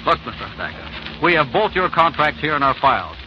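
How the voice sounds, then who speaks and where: A man playing a steady, practical business partner in a 1940s radio drama, speaking in a colloquial, reasoning way. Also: fairly high pitch, a little fast. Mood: firm. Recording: dull, noisy 1940s radio recording.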